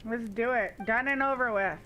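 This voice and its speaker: A woman's voice: fairly high pitch, normal speed, over music, poor audio. A woman who streams games live, chatting casually with her viewers. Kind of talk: casual livestream chat.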